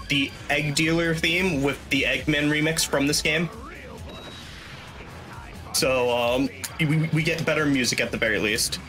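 Young man, fairly high voice, casual speedrun commentary, explanatory, chatty, game music behind. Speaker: speedrunner playing live at a charity marathon. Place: small indoor room at home. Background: video game music.

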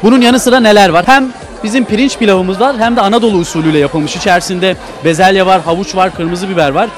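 A man's voice: high voice, fairly even intonation, fast. A young man reporting on location in a restaurant, presenting with enthusiasm into a handheld mic. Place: busy restaurant. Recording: noisy restaurant with music. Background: music and crowd chatter.

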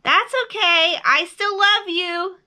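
Woman voicing an excitable, high-pitched storybook bear. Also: slow pace, full character voice. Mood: affectionate, earnest. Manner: eager, exclaiming, earnest. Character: excitable, sweet, childlike male bear. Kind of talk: picture-book character voice.

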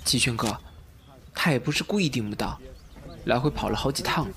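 A man acting a gentle, principled and considerate young man in an audio drama. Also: mid-range voice, lively intonation, brisk, gravelly, crowd noise. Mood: earnest, reproachful.

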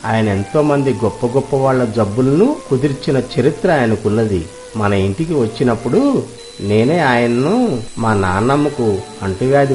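A man's voice: mid-range voice, a little mellow, close to an everyday voice. A man voicing an earnest, dutiful young son in a moral storybook family drama, speaking deferentially.

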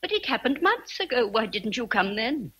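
A woman's voice: a little high, very animated, moderate pace, bright, forceful tone, breathy edge, full character voice, rough recording. An actress with an English accent playing a kindly, motherly old housekeeper in a radio drama, tender and grave. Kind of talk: BBC radio drama acting.

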